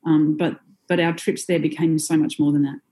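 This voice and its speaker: A woman singer talks through her experiences in a relaxed interview, pausing often with ums and soft laughs. Her voice sits a little low and sounds warm and mellow. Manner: reflective, with frequent "um" and soft laughs. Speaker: singer in a band, guest. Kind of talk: conversational interview.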